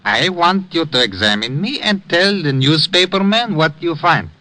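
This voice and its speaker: An actor playing a gentle, courtly old immigrant man in a 1950s radio drama, wistful and quaintly formal. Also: a little high, expressive, normal speed, no echo, poor audio. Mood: courteous. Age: older.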